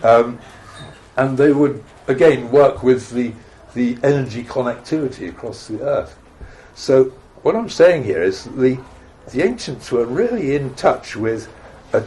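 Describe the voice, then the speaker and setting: An older man giving an informal explanatory talk in a discursive, conversational way, with hesitant pauses and small asides. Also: fairly low pitch, darkish tone. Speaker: speaker on earth energies and ancient sites. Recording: live talk with clear background noise.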